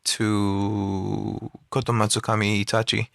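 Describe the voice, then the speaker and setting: A lower-voiced man with a bright, forceful edge talks through his gameplay, circling back with ums and restarts. The sound is close and dry, with no room around it, and picked up by a phone. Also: level tone, slow, gravelly, dry recording. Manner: rambling, many fillers and restarts. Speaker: mobile game player. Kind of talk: casual gameplay commentary.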